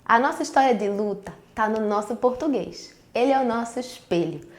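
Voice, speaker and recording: A woman teacher with a Northeastern Brazilian accent explains earnestly and speaks directly to her pupils. Her voice sits a little high, and the recording has a roomy echo. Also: lively intonation, moderate pace. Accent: Northeastern Brazilian, Pernambuco. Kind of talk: recorded school video lesson. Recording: echoey room.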